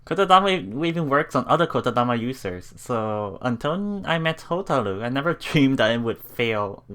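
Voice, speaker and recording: Woman reading a game, widely swinging pitch. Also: big pitch swings, moderate pace, fairly bright tone. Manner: giggly, reactive asides between read lines. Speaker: let's play host. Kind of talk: live reading and reacting to a visual novel.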